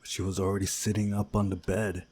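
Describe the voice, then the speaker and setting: A man reading a visual novel aloud as narrator, in a measured storytelling way with a husky voice. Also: deep voice, flat delivery, a little mellow. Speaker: gaming video narrator.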